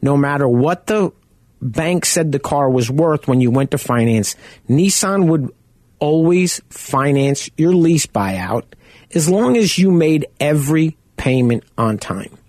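A man hosting a car-buying radio show from home, talking in a loud, chatty, conversational way. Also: fairly high pitch, animated, unhurried, no room sound, clean studio sound. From Arizona. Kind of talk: casual radio talk.

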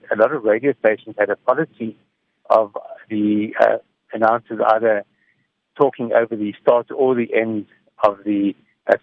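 A middle-aged man gives measured, explanatory answers in a reflective radio interview over the phone. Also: lowish voice, no room sound. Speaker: interviewed music technology commentator. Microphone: phone call. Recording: tinny, narrow phone line.

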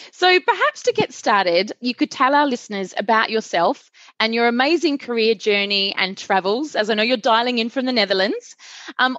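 A woman's voice: on the high side, fairly bright tone, dry recording, highs cut off. A woman hosting a podcast, reading a scripted intro and then interviewing her guest with effusive, enthusiastic praise. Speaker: podcast host.

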